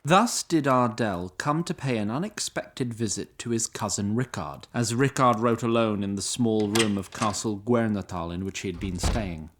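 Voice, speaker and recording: An actor as a composed, wry storyteller, giving literary scripted narration for a fantasy audio drama. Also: male voice, on the low side, moderate pace, hardly any pauses, close to an everyday voice.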